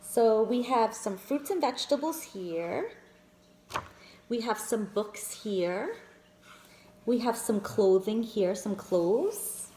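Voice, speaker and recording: A woman's voice: fairly high pitch, animated, slow pace, warm tone, slight background noise. A woman reading a picture book aloud to young children, stopping to point out the pictures and calling her listeners friends. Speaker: children's storyteller. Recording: echoey room.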